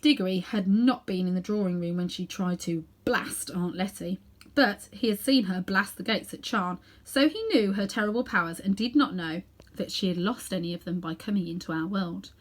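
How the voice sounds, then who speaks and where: A woman's voice: medium pitch, normal speed. Woman reading a children's story expressively. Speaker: storybook reader.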